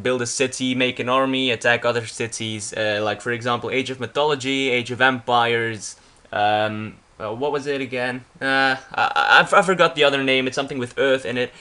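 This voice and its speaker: A young man with a clear Flemish accent talks casually in English, his second language, with a bright, forward voice. He rambles, restarts his sentences and fills gaps with "uh" and "yeah", at a mid pitch. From Belgium. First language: Dutch. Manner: rambling with frequent restarts and fillers. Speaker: YouTuber. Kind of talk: casual vlog narrating a slideshow.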